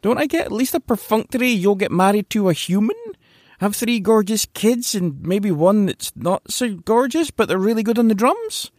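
A man's voice: animated, normal speed, a little mellow, no echo, studio quality. A man voicing a frazzled, sarcastic young man who has just learned he is a werewolf. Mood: exasperated. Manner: exasperated, sarcastic, high and strained.

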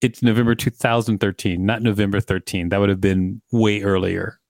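A man's voice: on the low side, level tone, a little fast, darkish tone, no room sound, studio quality. A man co-hosting a podcast, reading an article aloud in a casual way and breaking in with sarcastic asides. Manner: wry, interrupts the text with sarcastic asides.

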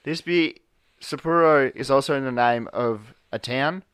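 A man's voice: mid-range voice, a little slow, a bit bright, clean tone, no room sound. A young man hosting a casual podcast chat, rambling and hesitant with many fillers. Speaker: podcast host.

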